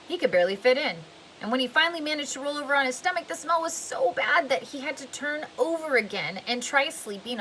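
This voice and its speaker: A woman's voice: on the high side, a bit bright, a little hiss or hum, poor audio. A woman reading a novel aloud in a plain way, sometimes stumbling and restarting a word. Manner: plain, occasionally restarting words. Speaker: reader.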